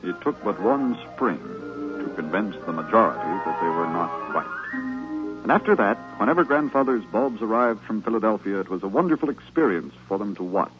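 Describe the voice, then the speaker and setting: An actor playing a devoted grandson narrating fond memories in a reverent, dramatic manner for a 1950s radio drama. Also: male voice, fairly low pitch, animated, moderate pace, lightly acted. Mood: fond, nostalgic. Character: devoted grown grandchild fondly remembering grandfather. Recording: muffled 1950s radio recording, music behind. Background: music.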